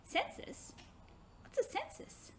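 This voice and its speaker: A woman voicing an excitable, devout little girl in a children's story, eager and full of questions. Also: slow, slight background noise, natural voice. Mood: curious.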